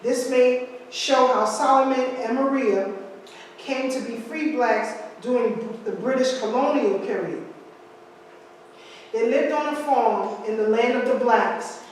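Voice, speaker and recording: A woman's voice: a little high, slight background noise. A woman giving a history lecture from slides, breaking off with asides and rhetorical questions to her audience. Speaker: history speaker. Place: large room with hard walls. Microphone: far camera. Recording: echoey hall from far off.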